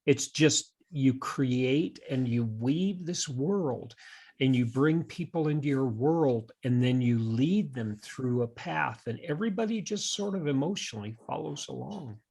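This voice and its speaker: Slightly husky man, thoughtful podcast talker. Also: mid-range voice, animated, measured pace, darkish tone. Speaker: podcast co-host and teacher. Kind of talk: conversational podcast discussion.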